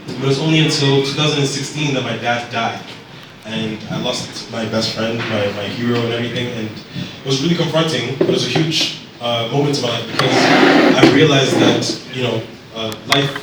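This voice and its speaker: A young man in his twenties from Zambia telling his life story to an audience in a relaxed, halting way with many fillers. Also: medium pitch, noisy background. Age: 20s. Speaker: speaker at a storytelling event. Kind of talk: personal storytelling talk. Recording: echoey room picked up from a distance.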